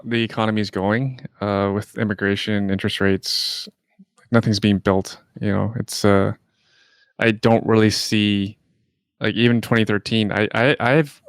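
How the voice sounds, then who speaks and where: A man hosting a casual interview, relaxed, laughing often and asking short questions. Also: fairly low pitch, dry recording, studio quality. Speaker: podcast host.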